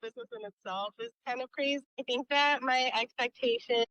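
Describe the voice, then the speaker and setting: Woman guest, fairly high pitch, smooth clear voice, casual, informal, thinking aloud. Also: fairly quick, a bit bright, dry recording, poor audio. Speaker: podcast guest, esthetician. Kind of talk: casual conversational interview answers.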